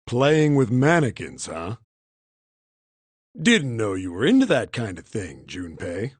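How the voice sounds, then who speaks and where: Game role: a burly older bruiser, rough husky voice, blunt, teasing, very animated delivery. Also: male voice, lowish voice, takes it slowly, dry recording, heavily acted. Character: big gruff older man, blunt and teasing. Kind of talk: English video game voice acting.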